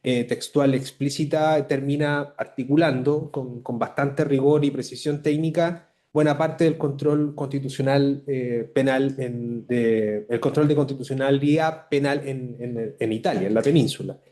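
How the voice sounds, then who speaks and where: A man giving an academic commentary, hesitant, with frequent pauses and restarts. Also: mid-range voice, fairly even intonation, dark tone. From Chile. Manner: hesitant, many fillers and restarts.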